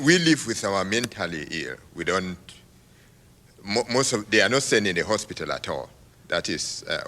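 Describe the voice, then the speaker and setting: A man from Cameroon asking a question from the audience at a public talk, halting with many restarts and laughing as he talks. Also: fairly low pitch, bright, forceful tone. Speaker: audience member. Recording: reverberant hall.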